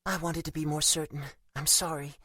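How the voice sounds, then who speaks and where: Man voicing a soft higher-pitched middle-aged mom. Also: steady tone, fairly quick, no echo, studio quality. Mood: grave, shaky. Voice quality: shaky, quivering. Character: loving, worried mother in her early fifties.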